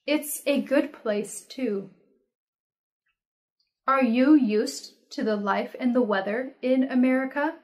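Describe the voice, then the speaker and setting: Slow, careful young woman teaching English. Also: high voice. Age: young adult. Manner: slow, careful, clearly enunciated. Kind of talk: reading practice dialogues aloud, each line twice. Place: indoor studio with red curtain backdrop.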